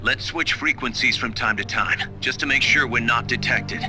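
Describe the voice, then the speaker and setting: A man playing a loyal, quick-witted military partner in a video game, crisp and attentive. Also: mid-range voice, music in the background, lightly acted. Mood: businesslike, approving. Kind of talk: dramatic video game acting.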